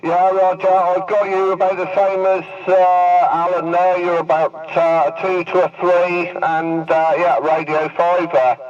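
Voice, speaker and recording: A man giving halting, hesitant replies in a casual CB radio exchange. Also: high-pitched, little pitch movement, crowd noise. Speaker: CB radio operator. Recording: dull distant radio, loud voices underneath.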